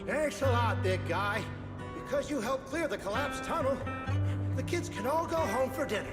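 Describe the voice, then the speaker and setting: A woman voicing a friendly, grateful villager in a video game, with long echo under loud guitar music. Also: high voice, expressive, moderate pace. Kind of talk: scripted game voice acting.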